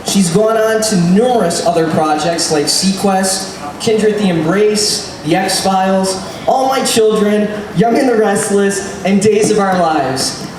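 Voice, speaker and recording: A man moderates a live fan panel, giving his guests bright announcer-style introductions. He sits well off the mic in a large, very echoey hall. A clear hiss runs under his voice. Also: high-pitched, a little slow. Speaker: panel moderator and podcast host. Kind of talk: live convention panel hosting. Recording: echoey hall miked from far off with noise.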